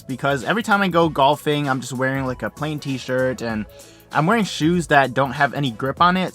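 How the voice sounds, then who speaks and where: A man's voice: mid-range voice, a bit bright. A young man in his twenties talking casually to camera from inside his parked car, upbeat and rambling. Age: 20s. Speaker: vlogger. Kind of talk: casual vlog chat to camera.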